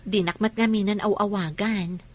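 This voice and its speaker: A woman's voice: medium pitch, fairly even intonation, moderate pace, natural voice. A woman playing a lovesick, wistful young Filipina in an Ilocano audio drama, with an Ilocano accent. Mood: probing.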